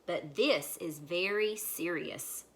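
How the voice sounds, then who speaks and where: A woman's voice: fairly low pitch, slow, a bit bright. A woman voicing a formal, commanding chief frog from a children's storybook. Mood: serious. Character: chief frog, polite but commanding male elder.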